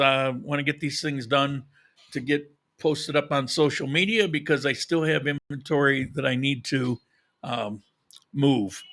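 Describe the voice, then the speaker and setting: A man with a fairly high, very bright and forward voice hosts a casual livestream. He addresses his viewers directly in an informal, conversational way. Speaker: live podcast host.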